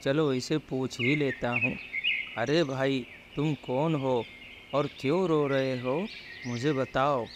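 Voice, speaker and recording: Man acting a slow-spoken, kindly village farmer. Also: mid-range voice, steady tone, heavy background noise, lightly acted. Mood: concerned. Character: kind, humble village farmer, a married man. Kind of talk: animated moral story dialogue. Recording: background music under the voice.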